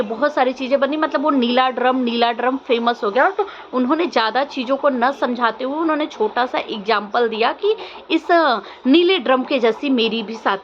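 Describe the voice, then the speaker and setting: High-pitched young woman vlogger, muffled audio. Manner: insistent, questioning, repeats phrases. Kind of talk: casual opinion commentary to viewers. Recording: muffled lo-fi audio, faint background noise.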